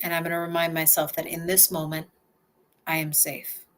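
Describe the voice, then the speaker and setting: A woman leading a slow guided relaxation exercise, speaking gently in the first person and pausing for breaths and sighs. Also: low-pitched, little pitch movement.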